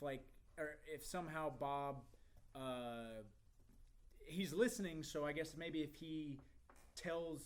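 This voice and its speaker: A man giving casual, thinking-aloud critique in a group discussion, hesitant and speaking in broken phrases. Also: on the high side. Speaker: feedback giver at a screenplay table read.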